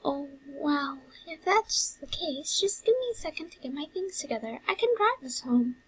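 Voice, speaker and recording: A woman voicing an earnest, excitable and caring brother who fusses, in an amateur fan audio drama. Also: sits high, normal speed, voice pushed up, rough recording. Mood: worried, insistent. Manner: exclamatory, fussing.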